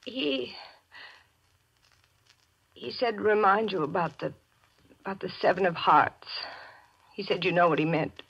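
A woman's voice: fairly low pitch, a bit bright, slightly rough, heavily acted. A woman acting an anxious, submissive young sweetheart in a 1940s radio mystery, speaking hesitantly. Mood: shaken, halting. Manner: hesitant, halting, breathless pleading. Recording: dull vintage radio recording, faint music.

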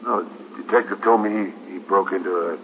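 A man playing an evasive, defensive working-class father who speaks haltingly, in a 1950s radio drama. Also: low-pitched, fairly even intonation, close to an everyday voice. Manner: halting, repeating himself. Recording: muffled, noisy 1950s radio recording.

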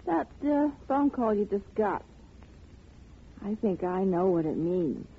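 Husky, desperate young woman. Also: mid-range voice, big pitch swings, slow, full character voice. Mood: insistent. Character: desperate young woman, pleading yet shrewdly insistent. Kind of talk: 1950 radio drama acting. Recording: tinny old radio recording.

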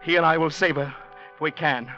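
A sensitive, desperate man in a 1940s radio play. His voice is tense and fairly high, theatrical and urgent, with halting turns. The recording is dull and old, with faint music behind. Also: steady tone, bright, forceful tone. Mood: grim, resolute. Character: desperate man hiding in department store, sensitive writer. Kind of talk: 1940s radio drama acting.

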